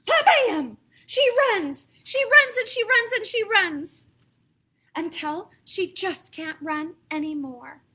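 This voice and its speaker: High, bright-voiced American woman reading stories. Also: slow pace. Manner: expressive, dramatic emphasis, asks listeners questions. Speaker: storyteller reading picture books to children. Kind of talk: animated read-aloud storytelling for young children, with asides.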